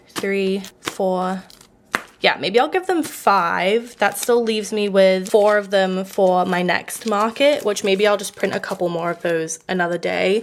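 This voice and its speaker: Chatty young woman, slightly croaky. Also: medium pitch, a little hiss or hum. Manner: chatty, filler-heavy, upbeat. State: slightly croaky, losing her voice. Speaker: small art business owner vlogging. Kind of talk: casual vlog narration.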